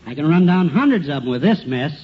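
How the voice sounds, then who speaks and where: An actor playing a flustered, sarcastic police sergeant in a 1950s radio comedy. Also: male voice, on the high side, big pitch swings, fast talker. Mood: exasperated, sarcastic. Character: exasperated, sarcastic male police sergeant taking a report. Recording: dull, noisy old radio recording, studio audience.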